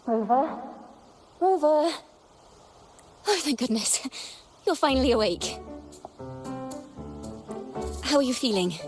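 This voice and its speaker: A woman's voice: sits high, lively intonation, takes it slowly, pauses often, a little mellow, soft background music. An actress playing a sweet, anxious young female companion who fusses caringly, in scripted game dialogue. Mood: relieved, concerned. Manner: solicitous, fussing.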